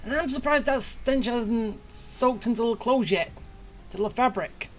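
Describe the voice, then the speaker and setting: A woman's voice: a little high, unhurried. A woman streaming a video game with casual, offhand commentary in short, amused remarks. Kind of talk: casual let's play commentary.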